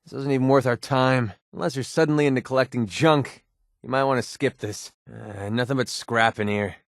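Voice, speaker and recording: An actor voicing a wry, prickly young mercenary sniper with a hidden soft side in a video game, sarcastic and conversational. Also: male voice, mid-range voice, moderate pace, dry recording, clean studio sound, lightly acted. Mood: grumbling. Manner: sarcastic, conversational, emotionally expressive. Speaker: video game companion character. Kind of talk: naturalistic video game voice acting.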